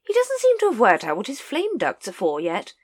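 A woman voicing a hearty, booming upper-class lady who talks in a gushing, brisk and jolly way. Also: huge pitch swings.

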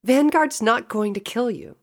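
A narrator putting on a brash, high-pitched young pegasus mare. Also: very animated, moderate pace. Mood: annoyed. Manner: insistent, forceful, snapping. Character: brash, defiant young female pegasus pony.

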